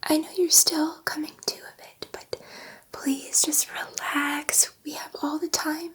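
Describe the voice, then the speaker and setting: A gentle alien scientist voiced by a woman whispers to her human subject in an ASMR roleplay. Her voice is soft, breathy and high, with very unhurried pacing. She is soothing yet excitable, repeating reassurances. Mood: delighted, hushed.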